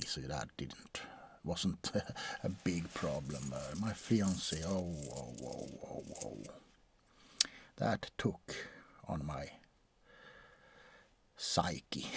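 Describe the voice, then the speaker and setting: ASMR roleplay: ancient male vampire doctor played by a man, deep, slow, bored, softly rambling and morbid. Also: breathy edge, full character voice. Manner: hesitant, rambling, weary, dryly morbid. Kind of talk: soft-spoken ASMR roleplay monologue.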